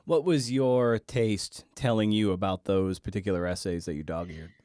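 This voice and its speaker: A man's voice: on the low side, moderate pace, a little mellow, no echo, studio quality. A man hosting a podcast, asking conversational, loosely phrased questions that feel their way toward the point. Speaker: podcast host, interviewer. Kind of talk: conversational interviewing.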